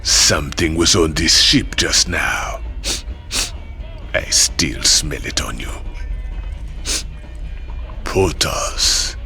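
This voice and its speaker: A menacing game mercenary with a very deep, forceful voice. He is sly and knowing, drawing words out slowly as he insinuates, with faint music behind. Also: animated, creaky, full character voice. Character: sly, menacing psychic male mercenary who sniffs out secrets. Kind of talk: video game cinematic acting.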